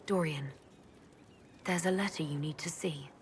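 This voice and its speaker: A woman voicing a calm, resolute leader in a video game, direct and matter-of-fact. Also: low-pitched, measured pace, slight background noise.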